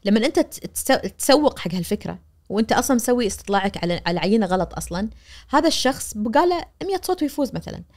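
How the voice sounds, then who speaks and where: A woman in her forties with a Kuwaiti accent, a media professor explaining things animatedly as a guest on a studio podcast, recorded on a boom mic. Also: rapid-fire. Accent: Kuwaiti Arabic. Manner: animated, gesturing, acts out quoted voices. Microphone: boom-arm studio mic.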